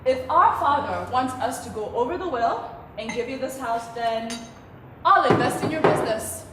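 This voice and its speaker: A woman's voice: sits high, animated, moderate pace. A woman playing a rich businessman's ambitious, teasing daughter in a stage play, projecting sardonic banter. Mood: confident, teasing. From Tanzania. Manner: sardonic sibling banter, projected theatrical delivery. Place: theatre stage, picked up from a distance. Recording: echoey theatre, distant mic, faint noise.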